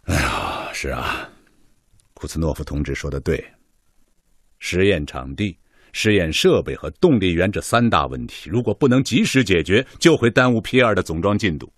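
An actor playing a genial senior chief engineer in a Chinese radio drama, avuncular and encouraging with exclamatory turns. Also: male voice, deep voice, sing-song, normal speed, no room sound, studio quality, exaggerated voice. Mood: concerned, encouraging. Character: senior male chief engineer, genial, leading young staff.

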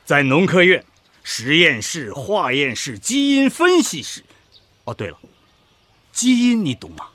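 An actor playing a pompous older research professor in a Chinese radio drama, husky and lecturing in manner. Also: male voice, a little high, very animated, measured pace, pauses often, sharp tone, dry recording, heavily acted.

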